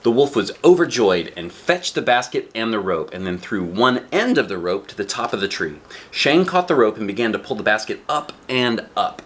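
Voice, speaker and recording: Expressive dad reading a picture book. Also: male voice, lowish voice. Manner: expressive, dramatic drawn-out phrasing. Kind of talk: storybook narration with casual asides.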